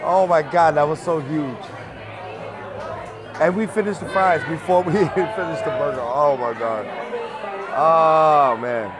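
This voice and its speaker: A man's voice: a little high, a little slow, long pauses. A man in his thirties reviewing a burger in a casual vlog, chatty and exclaiming, laughing often, filmed on a phone with an earbud mic. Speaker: food vlogger. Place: busy bar and restaurant, indoors. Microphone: earbud mic, phone held close. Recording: noisy crowded bar with music. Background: loud music and crowd chatter.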